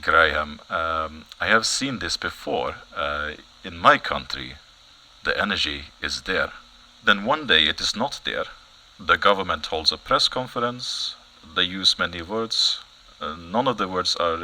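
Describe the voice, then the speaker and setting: A man plays a mysterious foreign informant in a news satire. His voice is deep with a sharp, bright edge. He speaks haltingly and solemnly, evasive and completely deadpan. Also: exaggerated voice, poor audio. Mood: cryptic, solemn. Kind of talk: satirical news audio comedy acting.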